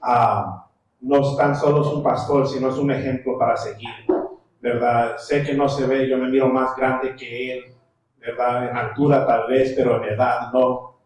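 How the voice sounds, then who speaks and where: A man's voice: mid-range voice, steady tone, measured pace. Man's echoey introduction in a big church. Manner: warm, joking introduction that rouses the crowd. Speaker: church leader introducing the guest pastor. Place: church sanctuary. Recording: very echoey distant hall sound with faint noise.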